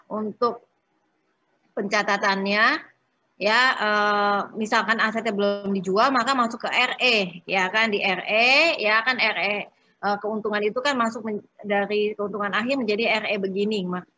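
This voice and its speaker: A woman working through exercises as an accounting instructor in an informal step-by-step lecture, often pausing and adding short check-in tags. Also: medium pitch, sharp tone.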